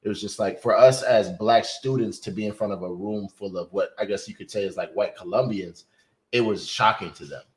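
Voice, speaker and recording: A man's voice: fairly low pitch, fairly quick. A man in a casual discussion, explaining earnestly with "like" fillers. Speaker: guest.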